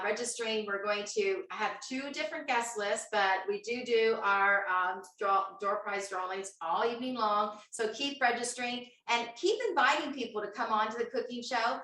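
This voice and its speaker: Woman host, bright and forceful, chatty audience quizzer, set back from the mic in an echoey room. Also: mid-range voice, far from the mic. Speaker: host of an online cooking show. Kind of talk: upbeat live-stream hosting.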